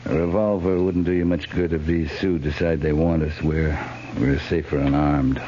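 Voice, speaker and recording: An actor playing a steady, dry cavalry captain in a 1950s radio western drama. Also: male voice, a bass voice, moderate pace. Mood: calm command. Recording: muffled, hissy 1950s radio recording.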